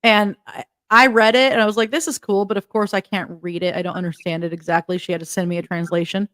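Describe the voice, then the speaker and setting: A woman hosting a casual interview, enthusiastic and quick to laugh. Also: medium pitch, flat delivery, a bit bright, dry recording. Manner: enthusiastic, giggly. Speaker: host.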